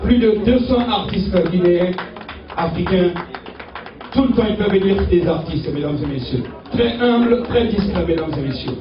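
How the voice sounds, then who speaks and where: A man emceeing a trophy ceremony, calling people to the stage and repeatedly addressing the ladies and gentlemen. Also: sits high, normal speed, crowd noise. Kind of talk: ceremony announcing. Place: event hall. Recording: distant, echoey, dull hall sound.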